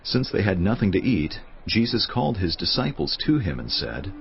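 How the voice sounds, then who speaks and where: A man's voice: low voice, sing-song, moderate pace, gravelly, muffled recording, soft background music. A man giving scripted narration for a dramatized audio Bible.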